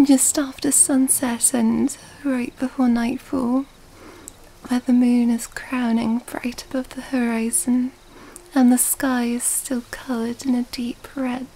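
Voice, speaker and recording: A woman playing an elegant, seductive female vampire in an ASMR roleplay, soft-spoken and breathy, often whispering in a genteel, flirtatious way. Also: a little high, slight background noise, rough recording. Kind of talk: ASMR roleplay, intimate scripted monologue. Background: background music.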